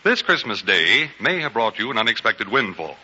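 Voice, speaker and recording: A man's voice: fairly low pitch, very animated, normal speed, bright, forceful tone, husky. An American man working as a radio announcer, reading scripted sponsor copy in a formal, emphatic 1940s style. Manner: emphatic, formal, polished phrasing. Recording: muffled 1940s radio broadcast, hall echo.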